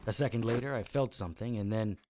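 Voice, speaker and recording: An actor playing a grim, deadpan occult investigator who narrates his own adventure in a noir audio drama. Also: lowish voice, quick pace, very noisy, close to an everyday voice. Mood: grim, tense.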